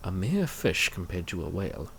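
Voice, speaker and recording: A man's voice: deep voice, big pitch swings, moderate pace, vocal fry, fairly bright tone, slight background noise, low-quality recording. A man giving reaction commentary on a comic, with mock-dramatic asides and rhetorical questions.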